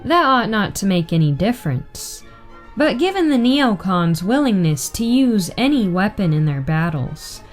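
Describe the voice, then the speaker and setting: A woman reading a written political article aloud in a measured, deliberate way. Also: mid-range voice, sing-song, faint music behind. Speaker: reader.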